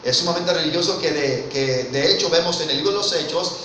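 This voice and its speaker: Mexican-accented male preacher, brightish and fairly high, earnest sermon delivery, heavy hall echo, mic from afar. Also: brisk, far from the mic. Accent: Mexican Spanish. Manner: earnest, keeps addressing listeners as brother, asks rhetorical questions. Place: church hall. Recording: very echoey hall, mic from afar, faint noise.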